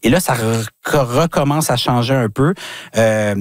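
A man from New Brunswick, a guest commentator in a radio interview, talking in a halting way with many fillers and restarts. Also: mid-range voice, no room sound, studio quality. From New Brunswick, Canada.

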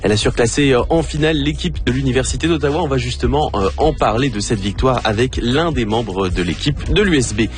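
A man from France with a French accent, hosting a radio show in casual talk, pausing often with fillers and restarts. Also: medium pitch, animated, faint music behind. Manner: hesitant, with frequent fillers and restarts. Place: radio studio.

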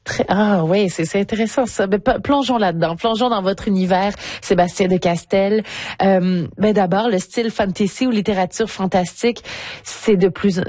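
A woman's voice: medium pitch, darkish tone, dry recording. A woman hosting a radio interview, engaged and lightly teasing, laughing easily with her guest. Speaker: radio host.

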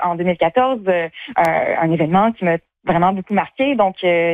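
Female interviewee, Quebec accent, slightly low pitch, casual spoken answers, narrow telephone-style sound. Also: no echo. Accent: Quebec French. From Quebec, Canada. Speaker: festival artistic director. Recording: tinny phone-like line.

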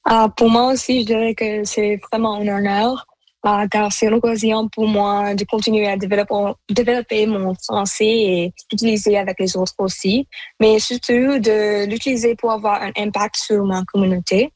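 A woman's voice: mid-range voice, steady tone, moderate pace, darkish tone, no room sound, rough recording. Woman in her 20s, native Punjabi speaker, thoughtful and hesitant guest, dry close sound. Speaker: university student guest.

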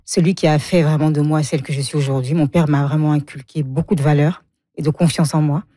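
A woman's voice: deep voice, fairly even intonation, fairly quick, warm tone, no echo, studio quality. A woman in her forties from Congo, a guest speaking frankly about her life and often laughing. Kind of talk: emotional personal interview.

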